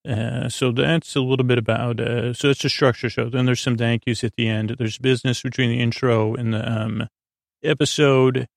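A man hosting a bedtime podcast in a rambling monologue, halting and stammering, often repeating himself and trailing off mid-word. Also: medium pitch, little pitch movement, no echo, studio quality. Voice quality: creaky, gravelly. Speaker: podcast host.

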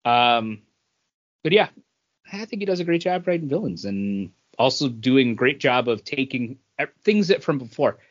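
A man's voice: mid-range voice, expressive, normal speed. Man chatting, dull lo-fi sound. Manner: enthusiastic, wordy fan talk. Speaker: comic book podcast co-host. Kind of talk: casual chat.

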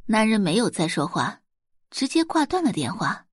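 A woman's voice: mid-range voice, very animated, normal speed, husky, no room sound, clean studio sound. A woman reading scripted novel narration in a dramatic storytelling style. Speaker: audiobook narrator.

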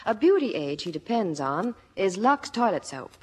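A woman's voice: mid-range voice, big pitch swings, moderate pace, breathy edge. A woman working as a Hollywood reporter, delivering scripted promotional chat on 1950s radio. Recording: echoey theater, vintage radio broadcast.

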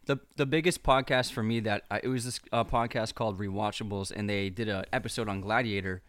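Deadpan, deep-voiced American man. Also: fast talker, no room sound. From United States. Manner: flat, emotionless delivery. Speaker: guest podcast co-host. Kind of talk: casual chat.

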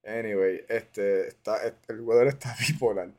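A man's voice: fairly low pitch, a little slow, a little mellow. A man with a Puerto Rican accent hosting a casual podcast interview, informal and dropping English words into his Spanish. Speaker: podcast host.

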